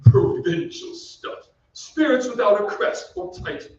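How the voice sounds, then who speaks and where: A man's voice: very high-pitched, lively intonation, a little slow, a little mellow, a little hiss or hum. A man playing a pompous, melancholy centuries-old aristocratic ghost with archaic, theatrical diction in an old-time radio drama. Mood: haughty, indignant. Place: on stage in a theatre. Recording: distant, echoey stage recording, muffled.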